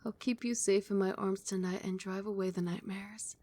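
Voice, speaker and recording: A woman's voice: mid-range voice, steady tone, moderate pace, dry recording, close to an everyday voice. Woman playing a whispering vampire queen. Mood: tender. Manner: soft whispering, soothing. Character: doting female vampire queen, tender, possessive. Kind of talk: ASMR roleplay. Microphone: close mic.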